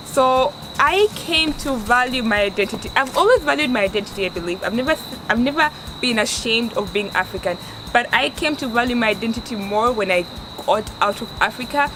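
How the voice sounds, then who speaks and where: Earnest young Tanzanian woman, noisy background. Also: on the high side. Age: 20s. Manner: earnest, restarts often, stresses words for emphasis. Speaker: vlogger. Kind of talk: casual advice vlog. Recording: steady background noise.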